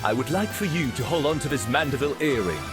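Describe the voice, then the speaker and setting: A man acting a vain, flamboyant gentleman hero in a game fan dub, with pompous, theatrical and grandiloquent delivery. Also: medium pitch, expressive, fast, over music, natural voice. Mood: solemn, grand.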